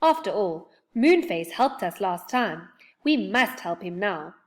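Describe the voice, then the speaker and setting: A woman voicing a kind, timid young girl who speaks gently. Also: medium pitch, sing-song, takes it slowly. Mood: resolved.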